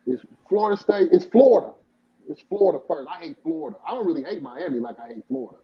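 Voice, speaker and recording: A man's voice: on the high side, expressive, fast talker, muffled recording, over a noisy crowd. A man, co-hosting a casual sports debate, repeating his points with insistence. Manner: emphatic, repetitive, insistent. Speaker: podcast co-host.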